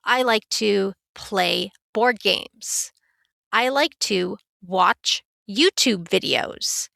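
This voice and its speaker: A woman teaching a beginner English lesson in a careful, clear, scripted way. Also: medium pitch, very slow, pushed and bright, no room sound, clean studio sound. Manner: clear, careful, instructive delivery.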